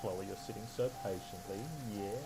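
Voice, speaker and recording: Low-voiced man streaming over loud music. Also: fairly even intonation, moderate pace, poor audio. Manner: chatty, thinking aloud. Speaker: game streamer. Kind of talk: casual live gameplay commentary. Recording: loud game music behind voice. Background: game music.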